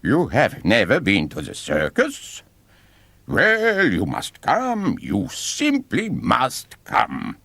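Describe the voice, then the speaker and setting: A man playing a flamboyant, theatrical circus ringmaster, swooping pitch. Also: wildly swooping pitch, vocal fry, fairly bright tone, dry recording, full character voice. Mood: showy mock surprise. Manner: theatrical, emphatic, drawn-out words.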